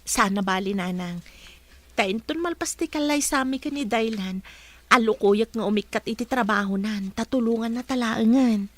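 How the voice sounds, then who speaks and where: A woman's voice: fairly high pitch, normal speed, natural voice. A woman with an Ilocano Filipino accent playing an eager, insistent young bride-to-be in a radio comedy drama. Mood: earnest.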